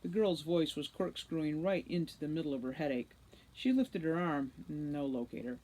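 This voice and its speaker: A woman reading a children's novel aloud as an expressive narrator. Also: low voice, moderate pace, poor audio. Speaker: read-aloud storybook narrator, teacher.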